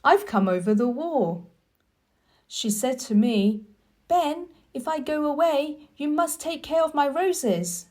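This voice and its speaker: A woman's voice: sits high, expressive, slow, a little mellow, pitched above the performer's own voice. A woman reading a children's storybook, voicing a blunt but loyal, tender-hearted old gardener with emphatic delivery. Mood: fond, loyal.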